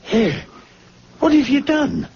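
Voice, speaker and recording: An actor playing a concerned gentleman friend, hearty and a little halting, in old-time radio drama style. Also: very high voice. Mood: alarmed, helping. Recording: dull, noisy old radio drama recording.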